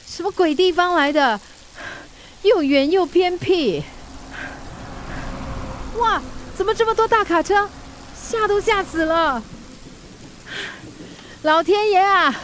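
Radio drama delivery mum, extremely high voice, exclaiming and grumbling, rain and thunder behind. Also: female voice, unhurried, pauses often, a little hiss or hum. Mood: distressed complaining. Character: feisty, complaining working mother delivering food in a storm. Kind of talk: Chinese radio drama acting. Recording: rain and thunder sound effects.

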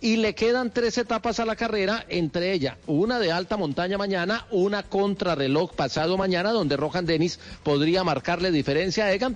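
A man's voice: high voice, dull audio. A man hosting a radio sports news segment, talking fluently with occasional hesitant restarts.

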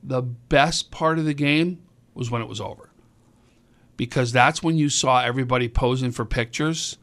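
A man, excitedly recapping a high school all-star game on a sports talk show, rambling and repeating words. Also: normal speed. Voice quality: booming voice. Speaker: high school sports commentator and event organizer. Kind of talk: casual radio sports talk.

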